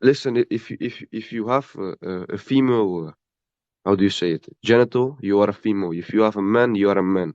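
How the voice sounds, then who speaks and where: A man's voice: on the low side, a little mellow, dry recording. Blunt guy stumbling over words, dry muffled audio. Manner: halting, repeats words, blunt and dismissive. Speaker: stranger in an online debate. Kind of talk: heated argumentative debate.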